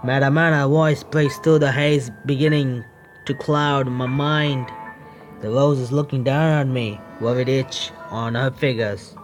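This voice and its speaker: A male streamer with reads a visual novel aloud at a medium pitch and adds his own commentary. He is halting, often restarting phrases, and faint music sits behind him. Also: fairly even intonation. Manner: halting reading with stumbles and self-corrections.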